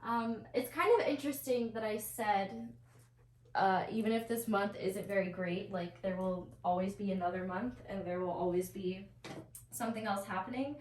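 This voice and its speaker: A woman talks straight to her audience through a head cold, her nose audibly stuffed up. She rambles casually through a tarot reading, trailing off into fillers and nervous little laughs, at an ordinary middle pitch. A faint hiss sits under the recording. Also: steady tone, normal speed, darkish tone. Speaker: tarot reader, channel host.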